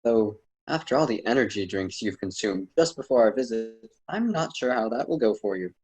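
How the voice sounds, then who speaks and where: A man's voice: on the low side, lively intonation, normal speed, darkish tone, slightly rough. A man playing a wise, wordy poet mentor with a formal, didactic manner in an amateur student radio drama. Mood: gentle farewell.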